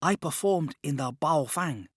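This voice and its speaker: A man's voice: on the high side, animated, unhurried, fairly bright tone, slightly rough, no echo, studio quality, pitched above the performer's own voice, full character voice. A man voicing an earnest, devoted young woman acrobat who confides in her friend, in an audiobook. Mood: confiding. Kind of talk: audiobook character dialogue.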